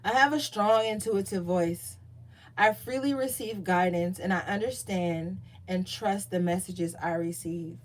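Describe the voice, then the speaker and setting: A woman giving a casual tarot reading, chatty and profane, reading card meanings aloud more calmly. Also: on the low side, unhurried, low-quality recording. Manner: loose, profane, chatty asides. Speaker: tarot reader.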